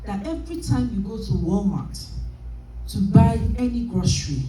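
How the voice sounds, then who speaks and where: A woman giving a motivational sales training talk at a convention, animated and emphatic, often laughing. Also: mid-range voice, moderate pace, faint music behind. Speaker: business trainer. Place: large convention hall. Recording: echoey hall from far off.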